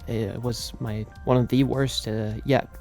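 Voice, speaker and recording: A woman voicing a nervous, excitable, friendly young male cat in an in-character fan Q&A, halting and then bursting with excitement. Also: a bass voice, faint music behind. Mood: emotional, hesitant.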